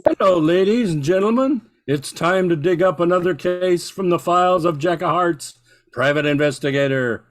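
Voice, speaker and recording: A man's voice: high voice, moderate pace, natural voice. An older man playing an intense, straightforward announcer in an old-time radio play, weighing every word. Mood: emphatic. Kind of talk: 1940s radio acting.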